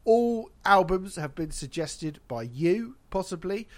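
A man's voice: high-pitched, lively intonation, slow. A man hosting a casual podcast, congested from hay fever, wry and chatty. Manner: wry, chatty, laughs at own jokes. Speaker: podcast host.

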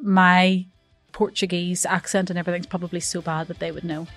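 A woman's voice: lowish voice, little pitch movement, fairly quick. A woman hosting a podcast in friendly, teasing casual chat, recorded in a room at a training ground. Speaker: podcast host. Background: faint gym music.

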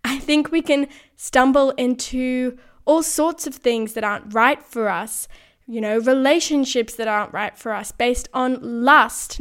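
Slightly croaky young woman podcasting. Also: fairly high pitch, fairly bright tone. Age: 20s. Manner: earnest, frequent light laughs. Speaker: podcast host. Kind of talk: casual solo podcast monologue.

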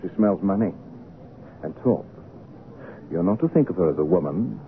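An actor playing a proud, obsessive, lovesick painter with an imperious, theatrical manner in an old radio drama. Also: male voice, deep voice, expressive, normal speed. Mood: commanding. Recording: noisy, tinny old radio broadcast recording.

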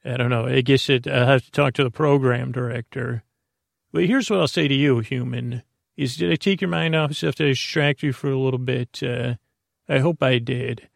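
A man with a creaky voice hosts a sleep podcast, meandering hesitantly through tangents in a bedtime monologue. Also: medium pitch, steady tone, normal speed, dry recording, studio quality. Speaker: podcast host.

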